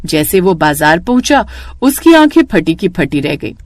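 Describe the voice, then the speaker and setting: A woman's voice: lowish voice, very animated, normal speed, a little hiss or hum. A woman narrating a scripted cartoon moral story as a storyteller.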